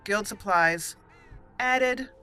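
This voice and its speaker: A woman hosts a game playthrough in a bright, punchy voice at a middle pitch. She chats casually, addresses the characters and slips into roleplay, with music playing behind her. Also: very slow, smooth. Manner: chatty, animated, talks to game characters.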